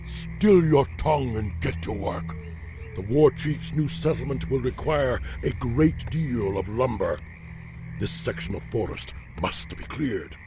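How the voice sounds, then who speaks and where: A man playing a proud, bloodthirsty veteran orc chieftain in a video game, with a gravelly growl and a brooding, defiant manner. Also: medium pitch, lively intonation, unhurried, close to an everyday voice. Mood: angry, commanding. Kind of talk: dramatic video game acting. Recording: music behind the voice.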